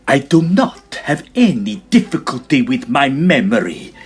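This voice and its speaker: A man's voice: medium pitch, sing-song, unhurried, slightly rough, heavily acted. A man playing a vain, jealous, hot-tempered opera tenor in a farcical period audio drama. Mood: indignant.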